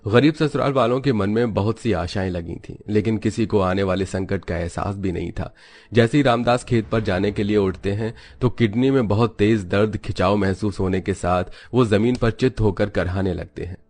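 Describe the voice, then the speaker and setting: A man's voice: lowish voice, normal speed, darkish tone. A man narrating a scripted moral story as its storyteller.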